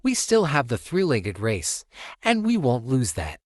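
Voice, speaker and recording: An excitable young boy cat from a children's storybook, played by a man, earnest and eager. The lines swoop up and down at an unhurried pace, with a dry, close sound. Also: mid-range voice, no room sound. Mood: urgent, encouraging. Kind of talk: children's storybook read-aloud.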